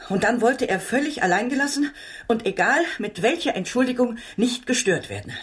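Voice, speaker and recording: A woman's voice: a little high, lively intonation, a little fast, breathy edge. An actress playing an anxious, deferential working-class landlady in a German radio drama, speaking earnestly and fretfully. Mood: earnest, troubled.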